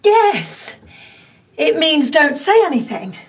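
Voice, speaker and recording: Composed, coaxing woman, middle-aged, comedy soap: articulate, slow, with expressive lift. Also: high-pitched, heavily acted. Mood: coaxing, cagey. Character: composed, coaxing older radio soap actress, secret lover. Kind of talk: naturalistic comedy soap acting. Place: radio studio corridor indoors, film camera.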